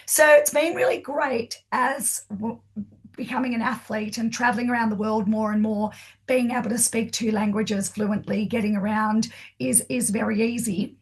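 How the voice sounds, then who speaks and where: A woman's voice: a little high, steady tone, moderate pace, slightly rough. An Australian woman whose first language is Swiss German, telling her story with chatty enthusiasm as a guest in a podcast interview.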